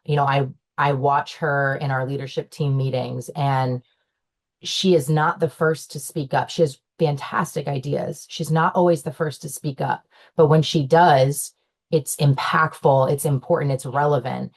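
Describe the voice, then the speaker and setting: A young woman speaking on a business panel, chatty and relaxed, with frequent fillers and restarts. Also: deep voice, unhurried. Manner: chatty, many fillers and restarts, laughs often. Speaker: panelist, owner's daughter in the business. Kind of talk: casual panel discussion.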